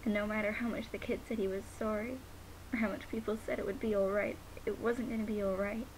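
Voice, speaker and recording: A young woman playing a grieving, guilt-ridden daughter in a dramatic monologue, halting and sometimes nearly whispering. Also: medium pitch, flat delivery, a little mellow, natural voice, low-quality recording. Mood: bitter hurt. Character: grieving, guilt-ridden daughter who lost her father. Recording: noticeable background hiss.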